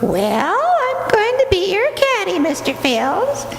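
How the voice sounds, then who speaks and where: A woman's voice: very high-pitched, huge pitch swings, takes it slowly, gravelly, a little hiss or hum, voice pushed up, heavily acted. A woman voicing a cheeky, bratty boy ventriloquist's dummy in an old-time radio comedy. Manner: impudent, needling. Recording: echoey hall, distant pickup.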